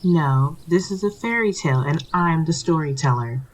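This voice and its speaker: A woman's voice: low voice, expressive, moderate pace, a little hiss or hum, rough recording. A woman voicing a prim, theatrical storybook storyteller who gets exasperated when interrupted. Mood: indignant. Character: prim storyteller reading a fairy tale, exasperated.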